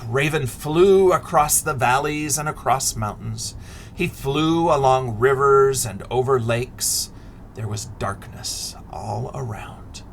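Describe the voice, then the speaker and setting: A middle-aged man reading a picture book aloud expressively to children, deliberate and dramatic, often dropping into a hush. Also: mid-range voice, slight background noise. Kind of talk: expressive children's read-aloud with asides and questions.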